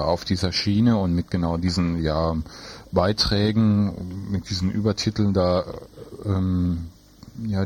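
A man presenting and interviewing live on radio, in a halting, stumbling delivery with a husky voice. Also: low voice.

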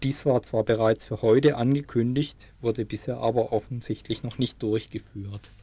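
A man's voice: medium pitch, fairly even intonation, moderate pace, dark tone. A man reading a scripted radio news report as a reporter.

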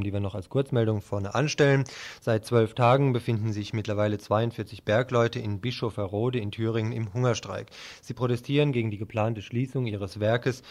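A man's voice: on the low side, a little mellow. A man working as a radio news presenter, reading reports and introductions from a script.

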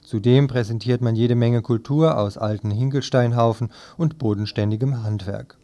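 A man's voice: mid-range voice, moderate pace, warm tone. A man reading scripted newspaper commentary for a radio press review.

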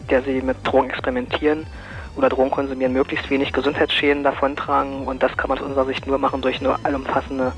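A man giving a spontaneous, halting explanation as an interviewee. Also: medium pitch, low-quality recording. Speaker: interviewee, drug education association board member.

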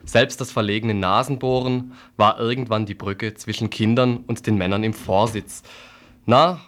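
A man reading a scripted satirical radio report with an ironic, mock-earnest delivery. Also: fairly low pitch.

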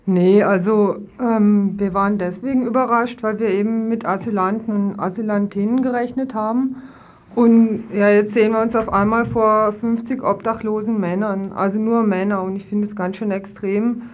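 A woman's voice: mid-range voice, steady tone, moderate pace, darkish tone. A woman giving spontaneous interview answers as a local resident, hesitant and searching for words.

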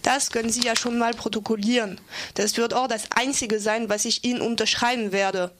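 A woman's voice: fairly high pitch, fairly even intonation, normal speed, natural voice. A woman acting an assertive activist in an amateur radio play, speaking firmly and curtly with defiance. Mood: firm, defiant. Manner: firm, curt, defiant. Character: Anna, assertive young activist woman facing a police search. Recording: noisy amateur recording with clicks.

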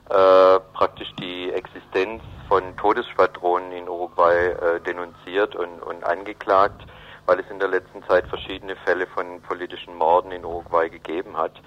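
A man giving a halting, explanatory answer in a radio interview. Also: low voice. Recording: dull lo-fi radio recording.

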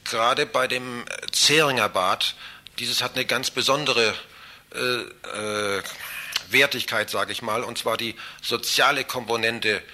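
A man answering interview questions as a citizens' association chairman, halting and rephrasing himself as he goes. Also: mid-range voice, sharp tone.

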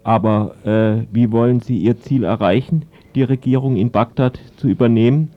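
A man's voice: mid-range voice, normal speed. A man working as an interpreter, relaying an interviewer's questions. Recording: narrow phone-like broadcast sound.

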